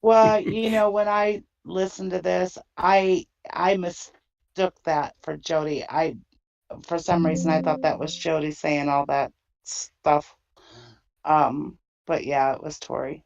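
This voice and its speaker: Creaky-voiced woman on a dull connection. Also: sits low, little pitch movement, slow, darkish tone. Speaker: discussion panelist. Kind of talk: casual chat. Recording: dull lo-fi online call.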